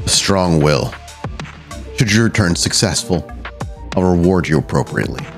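Man playing a very low, grave vampire prince. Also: expressive. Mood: measured, formal. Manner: slow, formal, measured. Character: grave, commanding vampire prince giving orders. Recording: music behind the voice.

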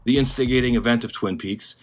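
A man narrating a scripted video essay in a dry, monotone, deadpan way. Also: medium pitch, brisk. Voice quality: monotone delivery. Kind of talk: scripted commentary.

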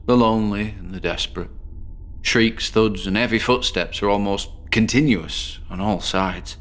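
A glum, rambling loner. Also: male voice, low voice, creaky, fairly bright tone, heavily acted. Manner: rambling, digressive and glumly self-deprecating. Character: lonely, downtrodden man in a grubby bedsit. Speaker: patient in a horror audio drama. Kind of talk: first-person radio drama monologue.

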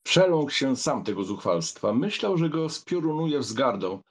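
Husky, expressive male audiobook narrator. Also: mid-range voice, measured pace.

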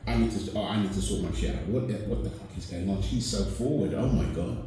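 A man's voice: on the low side, quick pace, dark tone, slight background noise. A young man acting out an ASMR boyfriend roleplay in a casual, conversational way. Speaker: audio roleplay performer. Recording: music behind the voice. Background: music.

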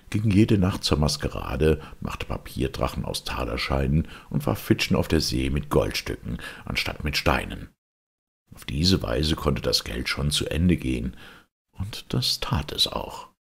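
Very deep, gravelly male storyteller. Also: fairly bright tone. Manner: theatrical, varied. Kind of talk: expressive fairy-tale narration.

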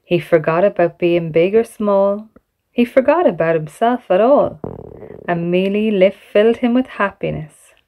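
A woman reading a children's picture book aloud as an expressive bedtime story. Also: mid-range voice, measured pace, phone-quality sound. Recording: music playing behind the voice. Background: background music.

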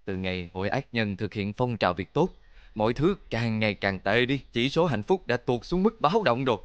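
An actor playing an earnest, principled superhero father in a cartoon, speaking gravely. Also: medium pitch, lively intonation, brisk, dull audio, close to an everyday voice. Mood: concerned.

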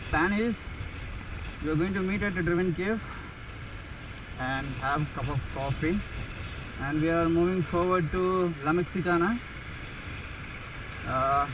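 Young indian dude talking over the wind on his bike cam, kinda high voice, lots of uhs. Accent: Indian English. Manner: relaxed, pointing out landmarks with pauses and fillers. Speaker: motorcycle vlogger. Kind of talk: casual guided-tour narration while riding. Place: riding a motorcycle on city roads, outdoors. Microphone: action camera mounted on the bike. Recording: wind and traffic noise on an action camera.

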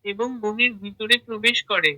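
Very bright-voiced children's story narrator. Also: medium pitch, fairly even intonation, moderate pace, poor audio.

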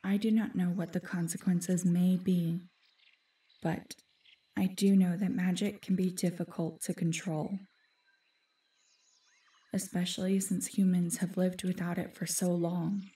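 A solemn forest guardian played by a woman speaks in measured, formal phrases that slip into breathy whispers. The voice is dark and mellow, slow, and a little distant from the mic. It suits a fantasy audio drama. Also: fairly low pitch, level tone, natural voice.

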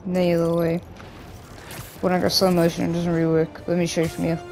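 Croaky young man chatting over gameplay. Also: a little high, monotone, quick pace, darkish tone, soft background music. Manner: rambling, loose, with many restarts and "like". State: hoarse, croaky from a cough. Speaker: gaming YouTuber. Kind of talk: casual gameplay commentary.

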